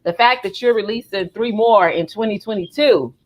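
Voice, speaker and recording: A woman hosting a casual live podcast, chatting and reading aloud in an expressive, emphatic way. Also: mid-range voice, moderate pace. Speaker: podcast host.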